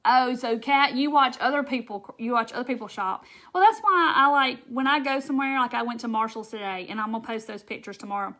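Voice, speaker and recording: Host, female, Southern US accent, bright higher voice, chatty and folksy on a craft live stream. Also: fairly quick, few pauses. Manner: chatty, folksy, laughs often, addresses viewers by name. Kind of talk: casual chat reading viewer comments.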